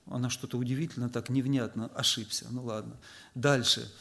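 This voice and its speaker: A man's voice: medium pitch, steady tone, fairly quick, creaky, fairly bright tone. A man preaching a sermon to his congregation, telling stories in a loose conversational way full of filler words. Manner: conversational, anecdotal, frequent filler "vot".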